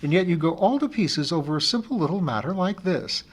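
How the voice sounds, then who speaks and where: A man voicing a shrewd, affectionate woman friend who talks briskly on the telephone. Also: on the high side, lively intonation, voice pushed up. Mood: brisk, kindly.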